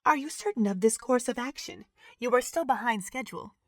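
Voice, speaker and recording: A woman's voice: fairly high pitch, animated, a little fast, natural voice. A woman playing a prim, dutiful artificial assistant in an audio drama, speaking formally and precisely.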